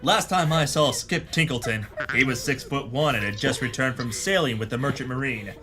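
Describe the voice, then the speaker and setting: An actor playing a pompous, hard-boiled hero detective in a melodramatic parody pulp audio drama. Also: male voice, mid-range voice, moderate pace, close to an everyday voice. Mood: shocked. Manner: bombastic, melodramatic.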